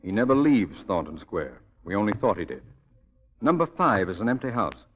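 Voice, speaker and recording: Authoritative detective, animated. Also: male voice, lowish voice, normal speed. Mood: confident, brisk. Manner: decisive, explanatory. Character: calm, authoritative detective, brisk and sure. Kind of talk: 1940s radio drama acting. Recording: muffled vintage radio broadcast.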